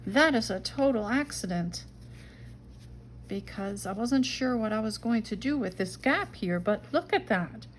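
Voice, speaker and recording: Slow-spoken Dutch-accented woman crafting. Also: a little high, lively intonation, slight background noise. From Netherlands. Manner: chatty, talks to herself while working, soft murmurs and whispers. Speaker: junk journal crafter. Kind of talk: casual craft-along narration.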